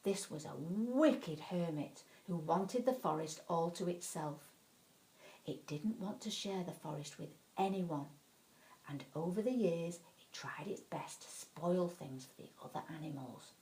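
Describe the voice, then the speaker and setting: A woman narrating a children's bedtime story in an expressive, dramatic way, leaning on key words. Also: lowish voice, measured pace, poor audio.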